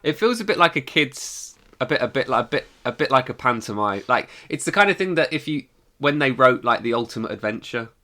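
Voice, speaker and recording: A man's voice: medium pitch, very animated, fairly quick, few pauses, pushed and bright. A man co-hosting a podcast, chatting casually and informally in review style, with the odd swear word. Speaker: podcast co-host.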